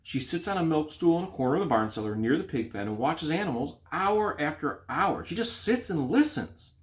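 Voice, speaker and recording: A man's voice: on the high side, animated, brisk, voice pushed up, natural voice. A man voicing a worried, puzzled mother of a young girl in a storybook, fretful and questioning.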